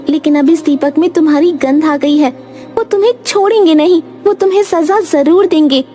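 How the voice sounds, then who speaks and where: A woman voicing an earnest, commanding fairy in an animated fairy tale. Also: sits high, normal speed, faint music behind, natural voice. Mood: urgent warning. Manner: urgent, explanatory, commanding. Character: earnest female fairy warning a human.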